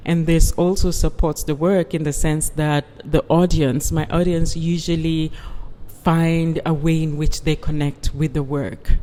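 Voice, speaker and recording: Calm, affirming woman in a reverberant hall. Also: low-pitched. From Uganda. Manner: measured, affirming, says "yes" often. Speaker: guest artist. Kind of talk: calm conversational interview.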